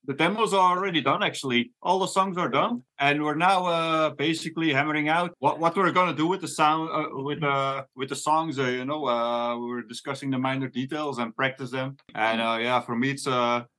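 A man with a Belgian accent, a band member chatting in a casual interview, halting with many fillers and restarts. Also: a little high, fairly even intonation, sharp tone. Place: rented vacation house in the countryside.

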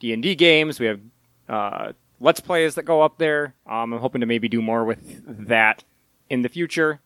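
A man's voice: medium pitch, moderate pace, fairly bright tone, clean tone, no echo. A man on a casual podcast with a dry, teasing way of talking. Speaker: podcast co-host. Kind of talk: casual group podcast chat.

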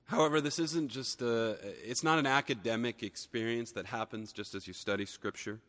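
A man preaching a sermon, a little hoarse from a cold, earnest and building emphasis on key phrases. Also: mid-range voice, steady tone, moderate pace, a bit bright, in a large hall. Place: church sanctuary.

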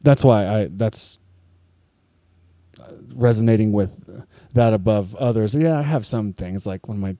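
Church podcast co-host, male, low voice, candid and rambling, dry close sound. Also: unhurried, mellow, no echo. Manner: candid, confessional, rambling with restarts. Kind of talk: casual chat.

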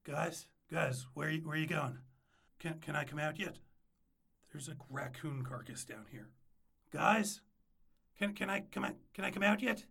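A man's voice: on the high side, measured pace, vocal fry, long pauses, sharp tone, husky, exaggerated voice. A man playing a nervous, pleading ex-boyfriend who stammers and repeats himself in a comic audio drama. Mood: anxious rambling.

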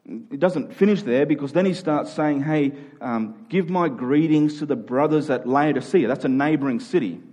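A man's voice: on the high side, normal speed, few pauses, darkish tone. A man speaking as a pastor, giving an earnest sermon to a congregation in a conversational, informal way.

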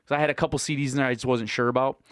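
A man in his late thirties telling stories in a relaxed, casual podcast chat with friends, often laughing. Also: medium pitch, very fast, smooth, dry recording, studio quality. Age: 30s. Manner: laid-back storytelling with frequent laughs. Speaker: podcast host.

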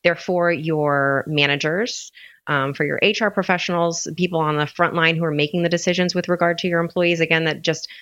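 A woman's voice: low voice, steady tone, a little fast, a bit bright, no echo, dull audio. A woman on a podcast, a lawyer guest explaining workplace law with frequent fillers and restarts. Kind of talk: casual podcast interview.

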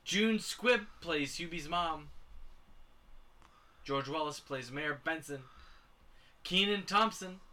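Podcast guy w a kinda high very bright voice, lots of ums, reads off the cast. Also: takes it slowly, pauses often. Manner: reads cast lists, hesitant fillers. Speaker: podcast co-host. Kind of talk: casual chat.

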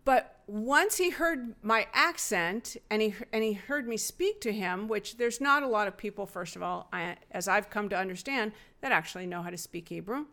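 A middle-aged woman telling personal stories in a relaxed, earnest conversational way. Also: mid-range voice, normal speed, hardly any pauses. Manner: earnest, reflective, with fillers and laughs. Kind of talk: conversational storytelling.

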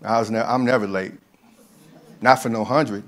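A man's voice: lowish voice, fairly even intonation, moderate pace. A man, a ministry leader, speaking earnestly to a church group, often stopping and restarting his sentences.

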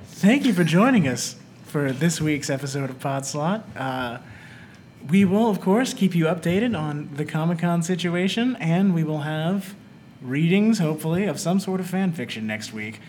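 A man with a fairly high voice hosts a casual group podcast. His pitch moves around in a very lively way. There is some background noise behind him. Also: normal speed. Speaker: podcast host.

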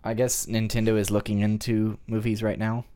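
A man co-hosting a casual discussion, talking in a halting, tentative way. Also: lowish voice, level tone, warm tone. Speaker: podcast co-host.

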